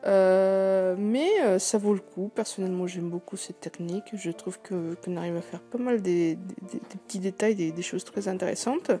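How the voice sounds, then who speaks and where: A hoarse young woman with a sore throat, talking softly and hesitantly as she hosts her painting vlog. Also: mid-range voice, steady tone, moderate pace, few pauses, soft background music. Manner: speaks softly, hesitant with many fillers. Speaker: art channel host. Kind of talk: casual vlog voice-over about painting.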